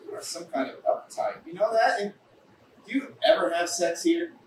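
A man's voice: high voice, normal speed, close to an everyday voice. A young man playing a cocky, childish freeloading brother in a staged play reading, flippant, needling and defensive. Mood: teasing. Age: young adult. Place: small café, indoors, live reading. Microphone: far camera microphone. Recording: echoey, distant room recording, faint noise.

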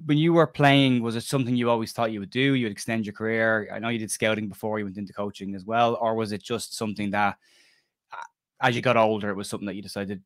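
A man's voice: lowish voice, no echo, studio quality. A middle-aged man from Ireland with a Dublin accent, hosting a chatty video call interview and often repeating words as he starts. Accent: Dublin Irish. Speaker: host. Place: indoor home studio. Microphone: podcast mic on a video call.

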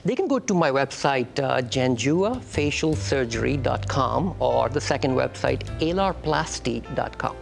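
A man's voice: medium pitch, animated, measured pace, vocal fry, hardly any pauses, soft background music. A man in his fifties from Pakistan with a Pakistani accent, speaking conversationally as a surgeon guest in a TV studio interview. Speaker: facial plastic surgeon, guest. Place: TV studio talk-show set.

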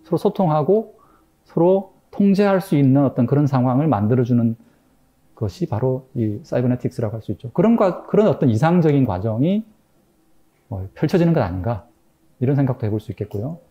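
A man's voice: medium pitch, lively intonation, brisk, mellow, highs cut off. A middle-aged man giving an academic lecture in a room, thoughtful and hesitant, with many fillers and restarts.

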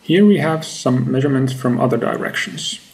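A man in his thirties with a creaky voice gives a measured, matter-of-fact research presentation in halting phrases. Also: mid-range voice, darkish tone, poor audio. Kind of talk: scripted academic slide presentation.

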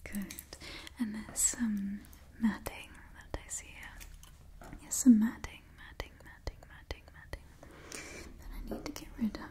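A woman in her twenties doing an ASMR roleplay in a soft, breathy whisper, close to the mic, soothingly repeating her phrases. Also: medium pitch, steady tone, unhurried. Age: 20s. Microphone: close-up ASMR microphone.

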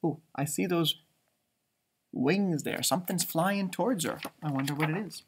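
A man in his thirties reading a picture book aloud to children like a teacher, expressive and dramatic, adding chatty asides. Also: on the high side, normal speed, a little hiss or hum. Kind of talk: animated storybook read-aloud with asides. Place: indoor library room with bookshelves.

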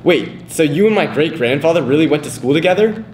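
A man's voice: fairly high pitch, expressive, fairly quick, a little mellow, close to an everyday voice. Sceptical, baffled student, played by a young man, amateur skit, roomy echo and faint noise. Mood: dry, sceptical. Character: confused, sceptical male student cramming for a history test. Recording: echoey room, faint background noise.

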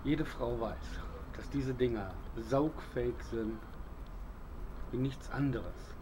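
A middle-aged man talks slowly and haltingly as he walks through his gear on an outdoor patio. His voice sits at an ordinary pitch. Clear outdoor noise and birdsong run behind him on the camera mic. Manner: relaxed, hesitant, many fillers. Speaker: bushcraft video host. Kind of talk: casual show-and-tell.

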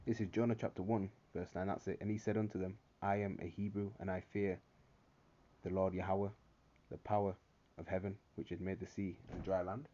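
A man's voice: deep voice, flat delivery, a little fast, mellow, lo-fi sound, slight background noise. A man giving preachy religious commentary over a screen recording, checking in with "all right?" over and over. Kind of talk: screen-recorded commentary reading articles and scripture.

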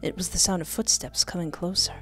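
A young woman hosting a game playthrough on a close microphone, reading text aloud and adding animated, jokey asides. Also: fairly low pitch, a little fast. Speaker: gaming video host. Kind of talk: playful let's play commentary mixed with reading game text aloud. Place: indoor home recording setup. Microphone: close desktop microphone with headset. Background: game music.

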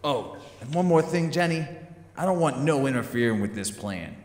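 A commanding, short-tempered crook played by a man in an audio drama. He talks gruffly and impatiently at a middle pitch, and his voice rings in an echoey, reverberant space. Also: sing-song, moderate pace, close to an everyday voice. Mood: angry, bossy. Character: bossy, short-tempered New York crook and heist schemer. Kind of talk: audio theater acting.

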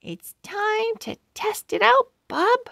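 A woman voicing an excitable, affectionate young girl inventor in a children's storybook, chirpy and exclaiming. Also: extremely high pitch, slow pace, vocal fry, no room sound, clean studio sound, pitched above the performer's own voice, full character voice. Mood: excited, hopeful.

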